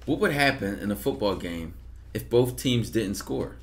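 Young male streamer, low-ish voice, reads aloud casually, slangy asides, echoey room. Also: moderate pace. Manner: relaxed, slangy, loud outbursts when joking. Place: bedroom streaming setup. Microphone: headset.

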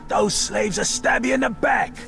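A man with a British accent voicing a scheming, wheedling, boastful orc in a video game, gravelly and theatrical. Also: very high-pitched, over music, exaggerated voice. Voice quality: gravelly, creaky. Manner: sly, theatrical, drawn-out phrasing. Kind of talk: video game character acting.

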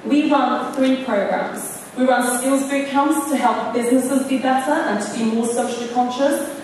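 A woman's voice: sits high, normal speed, slight background noise. A young adult woman from Iran giving an earnest acceptance speech at a podium, stressing key words as she tells her story. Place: large hall, on stage at a podium. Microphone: podium mic, camera far off. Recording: echoey hall recorded from far off.

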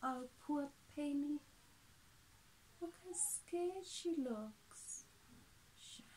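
Female teacher, thirties, high voice, slow expressive storybook reading for young children. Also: a little hiss or hum, poor audio. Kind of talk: picture book read-aloud with asides. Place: small indoor room with soft toys.

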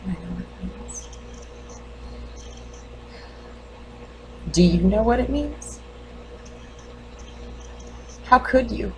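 A woman playing a grieving, defensive mother in a dramatic monologue, halting and intense. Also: medium pitch, expressive, darkish tone, a little hiss or hum, voice pushed up, low-quality recording. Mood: guarded, challenging, then bitter, accusing. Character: grieving, defensive mother confronting her doctor.

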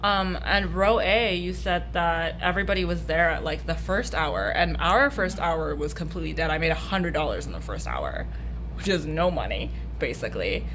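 Young woman artist, tired, slightly hoarse, rambly and casual, laughs between thoughts. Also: fairly low pitch, a bit bright, a little hiss or hum. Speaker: artist vlogger. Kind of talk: casual vlog chat. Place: hotel room and convention hall.

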